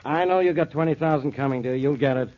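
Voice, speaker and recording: An actor playing a blunt, grudging police inspector in a 1940s radio detective drama, talking colloquially. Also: male voice, on the high side, fast, close to an everyday voice. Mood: grudging, griping. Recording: dull lo-fi 1940s radio recording.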